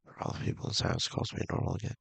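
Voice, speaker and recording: A man with a gravelly, husky voice casually reading a visual novel aloud, halting and mumbling with offhand asides. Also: fairly bright tone, no room sound. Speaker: video game streamer.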